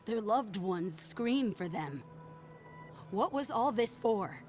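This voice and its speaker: A bitter, grieving woman in a fantasy video game. She speaks with scornful accusation over a musical score, her pitch in a middle female range. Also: lively intonation, slow, a little mellow, faint music behind. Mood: bitter grief. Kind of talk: dramatic video game acting.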